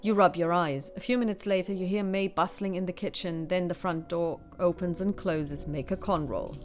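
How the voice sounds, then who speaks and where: A woman's voice: on the low side, steady tone, normal speed, soft background music. A woman reading a solo horror adventure aloud and talking through her choices. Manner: reads passages steadily, then chats about her choices. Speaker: solo tabletop game player. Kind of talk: reading a gamebook aloud with asides.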